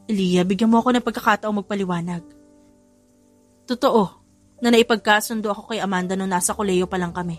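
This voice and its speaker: A woman's voice: mid-range voice, fairly even intonation, a little fast, pauses often, soft background music, natural voice. A woman playing an earnest man pleading with the one he loves, in an audio romance story. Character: earnest man pleading for his lover's forgiveness.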